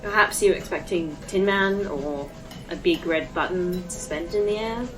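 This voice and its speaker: An actress playing a polite, proud artificial intelligence tour guide in a sci-fi audio drama, speaking formally and precisely. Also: female voice, on the low side, normal speed, faint music behind, close to an everyday voice, rough recording. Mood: prickly, proud. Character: polite, proud female A.I. tour guide in lifelike human form. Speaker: actor in a sci-fi horror fiction podcast.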